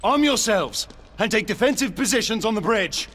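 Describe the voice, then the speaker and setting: A resolute, authoritative British knight in a game cutscene. His formal commands sit high and move in a lively way, over faint ambient noise. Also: normal speed, in a large hall. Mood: commanding. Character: authoritative male senior knight, measured and resolute. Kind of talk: dramatic game acting.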